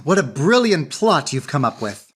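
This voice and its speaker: A woman playing a lovestruck, crafty young gentleman in a florid, theatrical radio drama. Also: deep voice, very animated, normal speed, slightly rough, heavily acted. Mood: scheming. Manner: florid, rhapsodic delivery.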